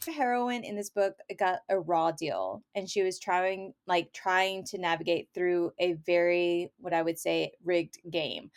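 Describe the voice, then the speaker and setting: A woman co-hosting a casual book review podcast, talking in an emphatic, opinionated and conversational way. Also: mid-range voice. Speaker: podcast co-host reviewing romance novels.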